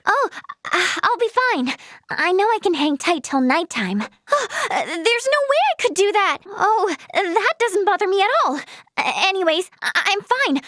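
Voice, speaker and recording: A cheerful young female healer from a video game. Her voice is high and girlish, with lively, bouncing intonation in a dry, close recording. She is earnest and bubbly, and trips over her words when flustered. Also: measured pace, no echo, exaggerated voice. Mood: flustered, embarrassed. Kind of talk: animated video game voice acting.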